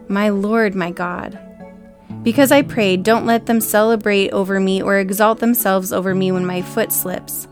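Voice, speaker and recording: A woman reading a psalm aloud as a prayer, measured, with pauses between short phrases. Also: medium pitch. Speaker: scripture reader. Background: faint acoustic guitar music.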